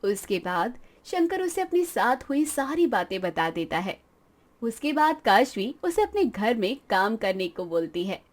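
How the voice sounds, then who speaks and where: A woman's voice: a little high, very animated, unhurried. A woman reading scripted narration for a moral story as its narrator.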